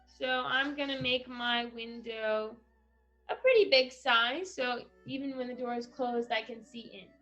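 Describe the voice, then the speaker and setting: Slow-paced, higher-voiced woman giving craft instructions. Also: steady tone, fairly bright tone, muffled recording, faint music behind. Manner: instructional, addressing children, pausing between phrases. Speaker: craft presenter for a children's read-aloud project. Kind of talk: casual step-by-step craft tutorial.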